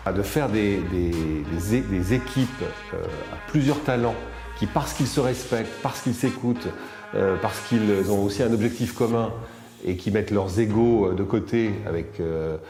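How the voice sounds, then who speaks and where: A man with a fairly low voice answers informally, often halting to find his words. The sound is roomy, with music clearly behind him. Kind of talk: informal interview opinion.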